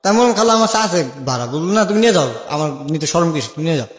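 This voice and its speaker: A man's voice: on the high side, lively intonation, rapid-fire. A young Bangladeshi man telling his life story as an interview guest, colloquially and with remembered dialogue acted out. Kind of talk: personal storytelling in a radio-style interview. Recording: echoey with long reverb.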